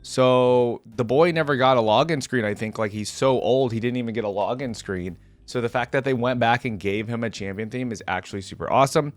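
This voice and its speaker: A man's voice: medium pitch, a little fast, few pauses. A man hosting a reaction video with casual commentary, speaking informally and enthusiastically with frequent asides. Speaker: YouTube reaction host.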